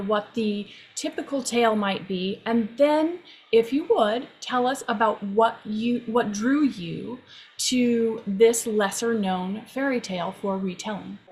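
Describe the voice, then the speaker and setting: A woman hosting an interview in an unhurried, gently appreciative, measured way. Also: fairly high pitch, a little hiss or hum. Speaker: podcast host.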